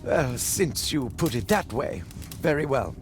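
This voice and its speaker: A man's voice: mid-range voice, big pitch swings, unhurried. An actor playing an ailing, courteous, weary English nobleman with a British accent, speaking formally in a game cutscene. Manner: formal, polite. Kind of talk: dramatic game cutscene acting. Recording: music behind the voice.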